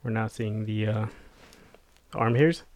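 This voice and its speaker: A man's voice: lowish voice, fairly even intonation, slow, a little mellow, no echo. A man co-hosting a casual podcast, talking in a laid-back way and laughing often. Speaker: podcast co-host.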